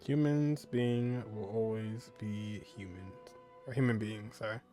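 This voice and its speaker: A man's voice: lowish voice, fairly even intonation, unhurried, warm tone. A man giving casual commentary while streaming a game playthrough. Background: game music.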